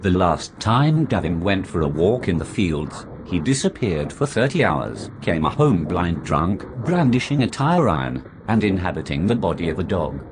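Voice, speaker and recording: A man delivering an absurd scripted monologue in a deadpan manner. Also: low voice, normal speed, few pauses. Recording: noisy recording.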